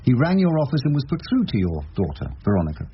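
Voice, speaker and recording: An actor playing a wry, thoughtful English criminal barrister in a radio drama, speaking drily and deliberately with musing hums. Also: male voice, mid-range voice, huge pitch swings. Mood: measured, probing. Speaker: actor in the lead role and narrator. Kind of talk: radio drama narration and dialogue. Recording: dull, noisy lo-fi radio drama recording.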